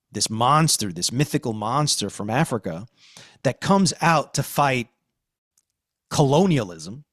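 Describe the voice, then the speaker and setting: Dry-sounding man with animated, swooping delivery. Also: medium pitch, slightly rough, no echo. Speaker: podcast host. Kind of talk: conversational review discussion.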